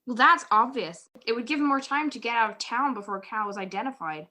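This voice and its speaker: A spirited detective's wife , played by a woman, in a vintage radio mystery. Her voice is high and bright, earnest and insistent, pressing each point in his defence. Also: fast. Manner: earnest, insistent, indignant pleading. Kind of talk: 1940s detective radio play acting. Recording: video call recording.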